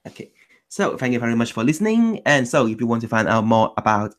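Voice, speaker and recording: A man's voice: medium pitch, very animated, fast. A man hosting an online language meetup in a loose chat, restarting his sentences often. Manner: loose, self-correcting, many restarts. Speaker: meetup host. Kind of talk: casual chat.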